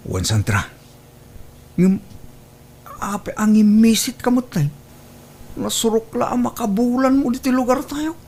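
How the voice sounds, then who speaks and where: A woman playing an eager, fickle young man with a hesitant, excitable manner in an Ilocano comedy drama. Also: on the high side, measured pace, pauses often. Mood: concerned. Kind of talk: Ilocano radio-style comedy drama acting.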